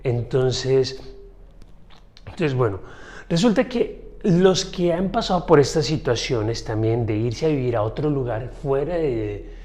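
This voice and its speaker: A man talks through his own podcast in a loose, chatty monologue. He speaks directly to his listeners and shares personal stories in a reflective tone. His pitch sits in a middle range for a man. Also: expressive, measured pace, darkish tone. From Colombia. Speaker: podcast host. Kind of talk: casual solo podcast monologue.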